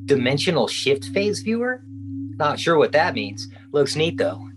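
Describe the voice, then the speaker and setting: A man's voice: fairly high pitch, big pitch swings, unhurried, background noise. A man playing an earnest, curious American government agent in a radio drama. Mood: curious, puzzled.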